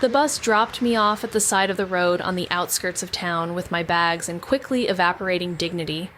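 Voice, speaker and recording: A woman's voice: fairly low pitch, brisk, a bit bright, close to an everyday voice. A woman playing a wry, self-deprecating young woman back in her hometown, narrating an audio drama in a conversational, sardonic way with rambling asides. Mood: wry, ambivalent. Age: young adult. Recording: noticeable background ambient noise.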